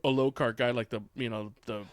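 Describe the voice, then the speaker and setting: A man's voice: medium pitch, fairly even intonation, a little fast, smooth, dry recording, studio quality. A man on a casual discussion panel, giving opinions in a blunt, rambling way with casual swearing. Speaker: podcast panelist. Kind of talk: casual opinionated sports chat.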